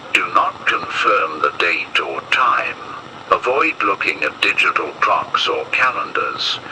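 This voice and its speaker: Man as deep, slow, ominous emergency announcer. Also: background noise, exaggerated voice. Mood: stern warning. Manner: solemn, ominous, deliberate. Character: grave male emergency broadcast announcer warning of anomaly. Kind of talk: analog horror emergency broadcast narration.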